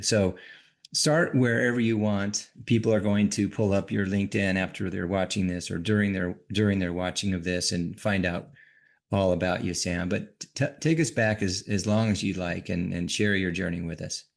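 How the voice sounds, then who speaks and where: A man's voice: low voice, fairly even intonation, moderate pace, creaky. A man hosting an interview, enthusiastic and repeating his words as he talks. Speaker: interviewer host. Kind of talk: interview chat.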